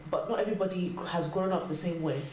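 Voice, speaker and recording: A young adult woman explaining her view in a measured way as a guest in a casual panel discussion. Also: on the low side, a little mellow. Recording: echoey room, faint noise.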